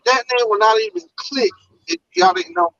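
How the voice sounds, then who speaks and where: A man's voice: high-pitched, normal speed, fairly bright tone, no room sound. A man from Puerto Rico with a Southern US accent, telling stories in a casual video chat from a truck, laughing often and stammering as he restarts. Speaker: truck driver on a livestream. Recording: dull lo-fi sound.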